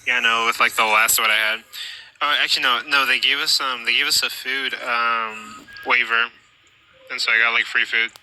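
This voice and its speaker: Hesitant, rambling man on a call, very bright tense tone, light noise. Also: medium pitch, steady tone, moderate pace, low-quality recording. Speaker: group chat member. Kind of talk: casual group call. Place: airport.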